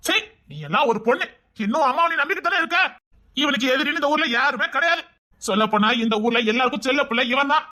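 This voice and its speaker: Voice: high voice, animated, fast talker, pushed and bright. A voice actor playing a scornful relative who blames the girl's mother in a melodramatic family serial. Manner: sneering, accusatory.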